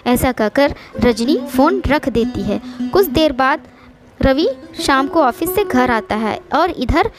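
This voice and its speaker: A woman's voice: high-pitched, animated, slow. A woman reading a scripted moral story as its narrator. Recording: music behind the voice. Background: background music.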